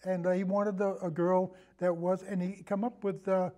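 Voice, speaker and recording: A man in a casual film discussion, telling anecdotes in a halting, rambling way and often repeating his phrases. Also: sits high, little pitch movement, mellow. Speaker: podcast guest, film discussion.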